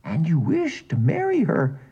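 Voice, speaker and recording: A man voicing an old father who whines and pleads in a high, strained voice. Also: wildly swooping pitch, a little slow, warm tone, breathy edge, lo-fi sound, exaggerated voice. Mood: hesitant. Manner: whining, pleading, rising to a shrill cry. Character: old whining father, fearful then overjoyed.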